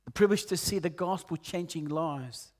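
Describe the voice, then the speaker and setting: A man in his late forties from Peru, preaching a sermon in an earnest way, rising loud on key points and dropping soft and low. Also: moderate pace. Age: 40s. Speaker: pastor.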